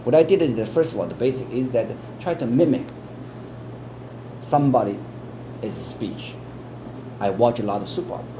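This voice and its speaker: A man with a Chinese accent speaks in an interview, earnest and patient, often stalling on repeated words before pressing on with his point. His pitch sits at an ordinary middle. A clear air conditioning hum runs underneath. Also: lively intonation, moderate pace, pauses often. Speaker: interviewee, English test expert. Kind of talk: explanatory interview answers.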